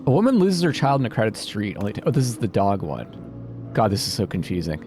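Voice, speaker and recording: A man's voice: on the low side, very animated, fairly quick, darkish tone. A man giving casual let's-play commentary, muttering and thinking aloud as he goes and reading bits out. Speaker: gaming video host. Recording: music behind the voice. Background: game music.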